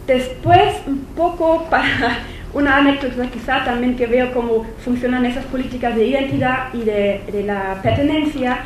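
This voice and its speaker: A woman's voice: sits high, normal speed. A woman speaking with a German accent, a non-native speaker giving an academic conference lecture at a university, hesitant, with many fillers and restarts. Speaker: visiting lecturer. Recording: echoey lecture hall, faint noise.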